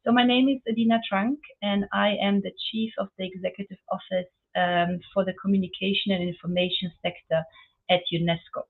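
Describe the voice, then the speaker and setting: A woman's voice: medium pitch, fairly even intonation, a little fast. A woman from Romania in her forties, speaking earnestly as a panelist in a webinar, with many fillers. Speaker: panelist, UN office chief. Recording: phone-like narrow line.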